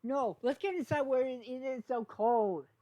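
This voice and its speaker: A woman's voice: sits high, moderate pace, dark tone, voice pushed up, close to an everyday voice. Woman as an exasperated young male pony sheriff. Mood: alarmed.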